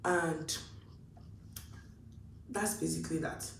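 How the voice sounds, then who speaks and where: A woman's voice: deep voice, slow pace, vocal fry, slight background noise. Nigerian woman giving chatty advice, roomy echo. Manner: chatty and animated, acts out her own past thoughts. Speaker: vlogger. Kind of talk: casual advice talk to camera. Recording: roomy echo, mic a bit distant.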